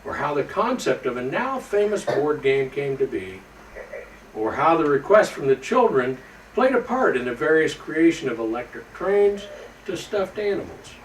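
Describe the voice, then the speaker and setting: Man playing an animated, folksy storybook Santa, roomy sound. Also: on the high side, moderate pace. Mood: folksy, jolly. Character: Santa Claus, jolly old man reading aloud to children. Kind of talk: storybook read-aloud to children. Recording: roomy, distant microphone with faint background noise.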